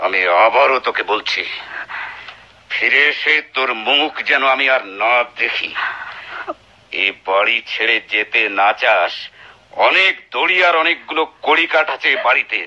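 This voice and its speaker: An actor in a Bengali radio play playing a cruel, scornful husband with a menacing, taunting delivery. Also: male voice, medium pitch, animated, a little slow, bright, forceful tone, exaggerated voice. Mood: scornful, furious. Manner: theatrical, menacing, taunting interrogation. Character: cruel, domineering, abusive husband, scornful and deceitful. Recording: dull lo-fi radio drama recording.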